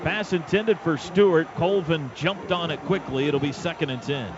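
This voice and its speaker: Guy doing radio football play by play, slightly high voice, punchy short calls, muffled stadium booth audio. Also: moderate pace. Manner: clipped, urgent play calling. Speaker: play-by-play announcer. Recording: dull, noisy stadium radio broadcast.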